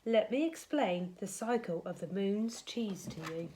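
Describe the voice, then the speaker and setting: A woman's voice: medium pitch, expressive, a little slow, warm tone, a little hiss or hum, natural voice, rough recording. Woman playing a friendly greedy storybook cheese monster. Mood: patient, explaining. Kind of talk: children's storybook character.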